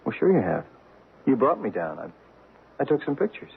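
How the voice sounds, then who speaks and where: An actor playing a practical, easygoing photographer in a vintage radio drama, speaking in a casual, matter-of-fact way. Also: male voice, mid-range voice, wildly swooping pitch, moderate pace, gravelly. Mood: puzzled. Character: practical, easygoing male photographer assisting an investigation. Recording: noisy, narrow-band old radio broadcast.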